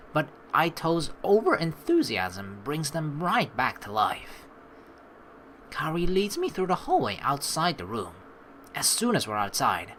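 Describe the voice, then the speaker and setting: A young woman doing a let's play, switching between rambling casual commentary and expressive read-aloud narration of a game. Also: low-pitched, a little hiss or hum. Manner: rambling, colloquial, playfully expressive. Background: faint game music.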